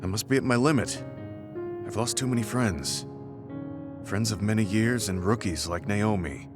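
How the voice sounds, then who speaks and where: A man playing a middle-aged, guilt-ridden veteran soldier in a video game, speaking in a measured, earnest way. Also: on the low side, lightly acted. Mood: sorrowful, guilty. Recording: studio voice under loud game music.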